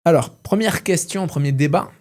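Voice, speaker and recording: A man's voice: a little high, normal speed, darkish tone. A man hosting a casual interview, chatty and piling one question onto the next. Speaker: podcast host, interviewer.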